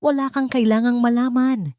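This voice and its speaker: A woman voicing a proud, angry middle-aged mother who gives sharp, commanding orders in a radio drama. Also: on the high side, steady tone, normal speed, no echo, studio quality, pitched above the performer's own voice, lightly acted. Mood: shouting.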